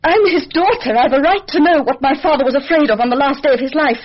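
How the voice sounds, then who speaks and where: An actress playing a bitter, overwrought young British aristocrat's daughter in a radio murder mystery, with intense, theatrical delivery. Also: female voice, sits high, quick pace, muffled recording, lightly acted. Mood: demanding, indignant. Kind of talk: radio drama acting.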